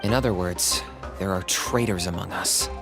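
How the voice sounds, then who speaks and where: A man's voice: deep voice, normal speed, vocal fry, over music. An actor playing a serious, loyal warrior aide in a video game, earnest and grave in his delivery. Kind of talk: dramatic video game voice acting.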